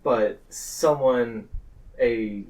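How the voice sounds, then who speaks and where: A man narrating a tabletop roleplaying game as game master, pausing between phrases. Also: mid-range voice, darkish tone, far from the mic, low-quality recording.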